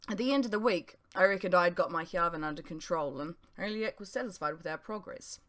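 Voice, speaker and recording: A woman with a slightly low voice reads an audiobook. She narrates her own story in the first person, with expression. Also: fast, a bit bright. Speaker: author reading own audiobook.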